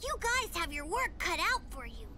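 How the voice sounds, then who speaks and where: A woman's voice: extremely high pitch, sing-song, normal speed, sharp tone, slight background noise, full character voice. A woman voicing an earnest, clever young boy fox sidekick in a video game, explaining things eagerly. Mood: eager, encouraging. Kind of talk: animated video game acting.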